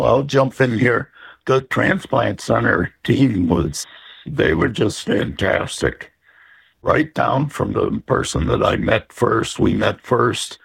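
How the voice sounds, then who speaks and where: Older guy, super gravelly and raspy, just chatting plainly about what he went through. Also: mid-range voice, takes it slowly. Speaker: interview guest, transplant recipient. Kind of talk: casual personal recollection.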